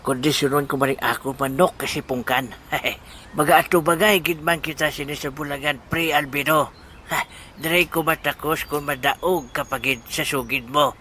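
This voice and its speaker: A man's voice: on the high side, steady tone, normal speed, sharp tone, slight background noise. A man playing a scheming, money-minded village man in a comedy audio drama. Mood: amused.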